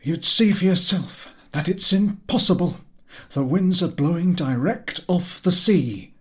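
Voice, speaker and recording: A man's voice: sits high, animated, measured pace, voice pushed up. A man voicing a stubborn, genial old working-class yacht captain in a storybook, with deferential, folksy rustic speech. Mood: irritated. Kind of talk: storybook character voice.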